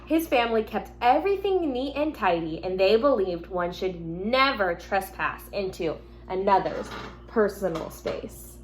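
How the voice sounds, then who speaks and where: A woman teacher speaking gently and simply to young children, reading a picture book aloud at home with casual asides. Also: mid-range voice, expressive, a little slow. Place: at home in an apartment. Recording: noticeable background noise, home recording.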